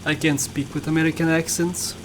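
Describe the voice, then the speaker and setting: A man's voice: fairly high pitch, flat delivery, brisk, noisy background. A man with a Bulgarian accent giving casual, playful gameplay commentary, chatting to viewers and often laughing at himself. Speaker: gaming YouTuber.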